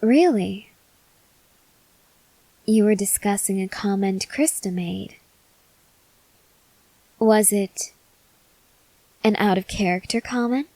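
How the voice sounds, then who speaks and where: A woman playing a possessive, softly menacing female vampire lover in an intimate, measured ASMR roleplay that slips into breathy whispers. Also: mid-range voice, dry recording, poor audio. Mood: suspicious, taken aback. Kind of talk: ASMR roleplay acting, addressed to the listener.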